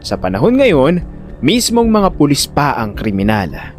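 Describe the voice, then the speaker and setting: Man, dramatic first-person narration, conversational, very lively intonation, background music. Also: fairly high pitch, measured pace. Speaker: narrator and lead character of audio drama.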